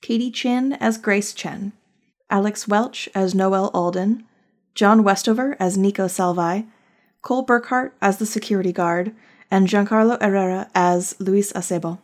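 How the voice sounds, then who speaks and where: A woman's voice: medium pitch, moderate pace, darkish tone. A woman reading scripted end credits as the show's writer.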